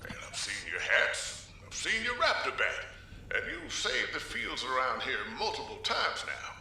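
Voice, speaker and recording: Folksy older man, bright, echoing. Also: on the high side, measured pace, creaky, exaggerated voice. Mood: warm reminiscing. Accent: American. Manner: folksy, courtly, animated. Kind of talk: video game cutscene acting. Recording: very echoey, distant, faint noise.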